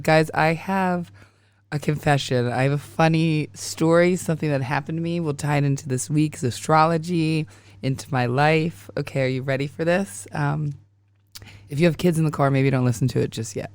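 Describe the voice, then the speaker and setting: Raspy-voiced woman host, dry close sound. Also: sits low, normal speed, darkish tone, dry recording. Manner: chatty, laughs often, restarts sentences. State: hoarse, recovering from sinus infection. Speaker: podcast host. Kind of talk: casual chat.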